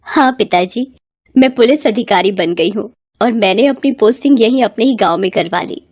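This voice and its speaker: A woman's voice: fairly high pitch, normal speed, darkish tone, lightly acted. A woman voicing a determined, defiant young village daughter in a cartoon moral story, firm and earnest. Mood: resolute.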